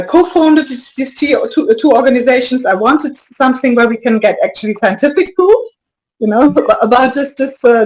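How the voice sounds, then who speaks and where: A woman speaking as an interview guest in casual talk, halting, with many restarts and soft laughs. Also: a little high, rough recording. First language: not French. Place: lives in Brussels area.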